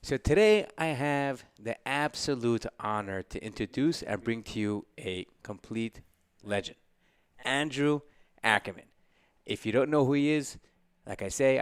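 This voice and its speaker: A man hosting a podcast interview, effusive and quick to laugh, with halting restarts. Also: lowish voice, clean tone. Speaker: podcast host. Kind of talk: casual interview.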